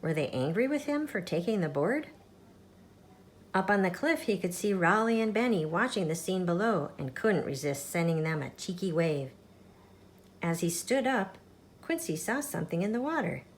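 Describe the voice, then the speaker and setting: A woman with a slightly low voice reads a picture book for children. She tells it expressively and at a measured storyteller's pace, as the regular reader of a storytime show. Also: big pitch swings, a little hiss or hum.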